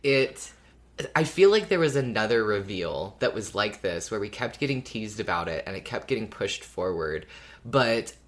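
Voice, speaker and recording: Co-host, man, deep voice, loose rambling fan chat with frequent laughs. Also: sing-song, moderate pace. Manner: thoughtful, rambling with many "like"s, laughs often. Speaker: podcast co-host. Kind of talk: casual fan podcast chat.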